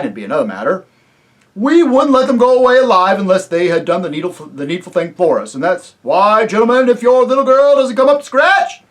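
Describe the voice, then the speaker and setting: Boisterous and bellowing, this rough invisible chief as a reader puts him on booms his threats in broken rustic grammar. The voice leaps up high and swings all over. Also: lightly acted. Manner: loud, blustering, rustic grammar.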